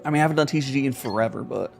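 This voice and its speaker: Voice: mid-range voice, very fast, gravelly, a little mellow, soft background music. A streamer talking casually while playing a card game, thinking aloud with many fillers and repeated words. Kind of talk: casual live gameplay commentary.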